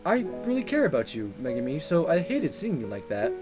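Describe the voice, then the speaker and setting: An animated, fairly high-voiced schoolboy talks in visual novel dialogue, played by a man. He sounds earnest, friendly and awkward, easily flustered, with lively swings in pitch. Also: brisk, music in the background.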